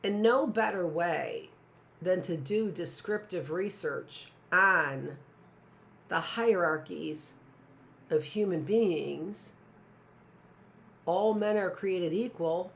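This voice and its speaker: A woman's voice: lowish voice, takes it slowly, vocal fry, long pauses. A middle-aged woman teaching a live online class, rambling with sarcastic asides and talking to herself.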